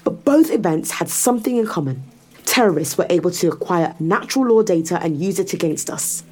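Cold menacing sci-fi interrogator lady, kinda low voice, controlled and taunting, audio drama. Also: expressive, brisk, darkish tone. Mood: accusing, controlled. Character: cold, menacing woman interrogator in a near-apocalypse sci-fi world.

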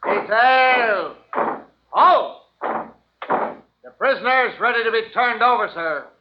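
A man playing a brisk, dutiful soldier in a 1950s radio drama, with a barking, formal military delivery. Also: very high voice, expressive, full character voice. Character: brisk, dutiful soldier reporting to his colonel. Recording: noisy, muffled vintage radio recording.

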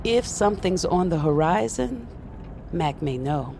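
Brisk, wry female guide for urban fantasy audio fiction: gravelly, casual, set in noisy ambience. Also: fairly low pitch, expressive, a little mellow. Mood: matter-of-fact. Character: brisk, wry woman guiding a magical novice. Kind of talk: naturalistic audio fiction acting.

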